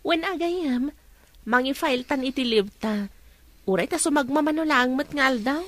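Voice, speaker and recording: A woman's voice: high voice, moderate pace, close to an everyday voice. An actress playing an outspoken, eager young woman in a radio drama, with a Filipino Ilocano accent. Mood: matter-of-fact.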